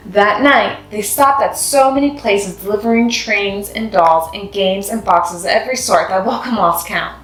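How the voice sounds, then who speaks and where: A woman reads a children's story aloud with expressive narration. Her voice sits at a medium pitch in an echoey room, with music playing behind her. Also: normal speed, few pauses. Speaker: read-aloud host. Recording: roomy echo, music behind.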